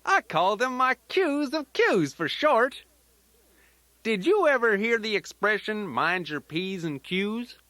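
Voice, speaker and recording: A man's voice: sits high, sing-song, slow pace, sharp tone, no echo, heavily acted. A man voicing a folksy, playful old grandfather teddy bear who rambles in a children's storytelling tape. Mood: playful, chatty. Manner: folksy, rambling, sing-song rhyming asides.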